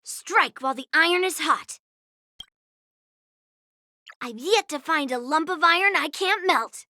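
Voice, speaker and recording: A woman voicing a spirited, confident young girl swordswoman in a video game, brash and expressive. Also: high voice, a little slow, dry recording, studio quality, heavily acted. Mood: cocky, playful. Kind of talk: video game character voice lines.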